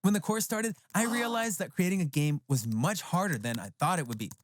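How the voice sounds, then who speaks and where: A narrator reading a scripted first-person story for an animated tale. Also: mid-range voice, fairly quick, slight background noise. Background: background music.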